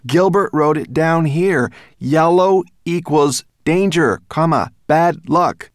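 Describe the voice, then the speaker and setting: A man's voice: lowish voice, steady tone, moderate pace, a little mellow, dry recording, clean studio sound, pitched above the performer's own voice, lightly acted. A man voicing an earnest, anxious young boy with a hesitant manner, in a children's storybook reading. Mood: worried, insistent. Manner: hesitant, stammering when unsure. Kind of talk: children's audiobook character voice.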